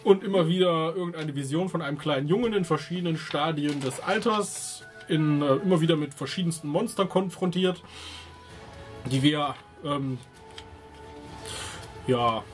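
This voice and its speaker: Higher-pitched man recapping a tabletop game. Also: moderate pace, faint music behind. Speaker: tabletop role-playing player. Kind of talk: unscripted recap of a game session.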